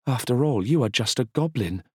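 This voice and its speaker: A man's voice: expressive, normal speed, husky, no echo, studio quality. A man voicing a haughty, imperious elf queen in a raised, commanding voice. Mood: scornful. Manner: high, commanding.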